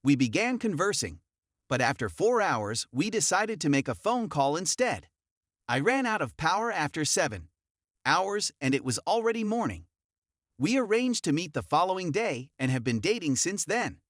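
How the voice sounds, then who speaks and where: A man reading an online forum story aloud in the first person, in an even storytelling manner. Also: a little high, normal speed, dry recording, studio quality. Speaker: narrator.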